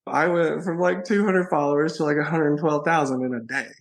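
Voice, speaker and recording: A man's voice: a little high, brisk. A man, chatting in an interview as a guest, playful and bubbly and often laughing. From Alabama, USA. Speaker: guest, social media personality. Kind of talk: casual interview chat.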